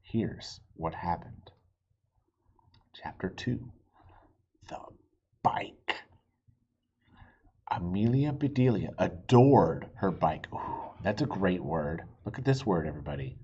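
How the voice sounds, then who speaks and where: A man with a mid-pitched, rough-edged voice reads to children as a storytime host. His delivery is highly animated and expressive, with explanatory asides for young listeners. The recording sounds a little dull and lo-fi. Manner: animated, pausing to explain and ask listeners questions. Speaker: children's read-aloud host.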